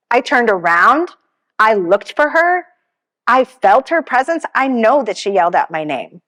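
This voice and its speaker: Gentle woman counseling the grieving. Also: mid-range voice. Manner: gentle, reassuring, shares personal stories; says she has a pretty strong voice. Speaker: grief counselor giving a seminar. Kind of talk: conversational presentation to an audience. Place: funeral home seminar room.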